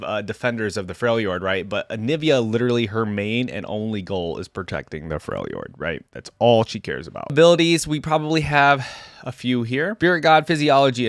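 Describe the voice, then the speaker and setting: A man's voice: medium pitch, no room sound, clean studio sound. A man hosting a reaction video, reading lore aloud and breaking off into casual asides, often checking his points with "right?".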